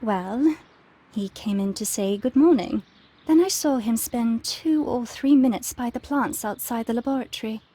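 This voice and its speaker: Higher-pitched, polite young lady researcher. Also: dark tone, slightly rough. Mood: polite, composed. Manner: polite, measured, precise. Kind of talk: scripted game dialogue, giving testimony.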